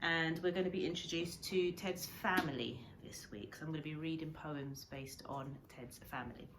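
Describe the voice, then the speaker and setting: A woman's voice: deep voice, fairly even intonation, normal speed, background noise. A woman, a primary school teacher, talking informally to her pupils for a filmed story time, addressing them directly. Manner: addresses children directly with questions.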